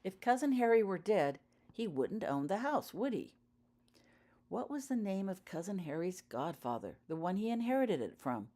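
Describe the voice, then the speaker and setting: A woman's voice: mid-range voice, sing-song, normal speed, dark tone. A woman reading her own fiction aloud as audiobook narration, telling the story in character-focused prose.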